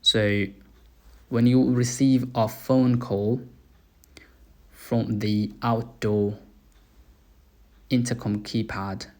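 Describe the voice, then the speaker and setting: A man presenting an installation as a product walkthrough, speaking deliberately in short, halting phrases. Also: fairly low pitch, a little mellow. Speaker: gate installer presenting his work.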